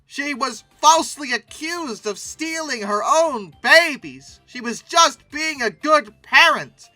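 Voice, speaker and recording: Super bright voice doing jokey casual reaction commentary, swings all over, dramatic emphasis, music behind. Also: high-pitched, expressive, no echo. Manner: theatrical, exaggerated emphasis with drawn-out words. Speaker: video host reacting to old paleoart.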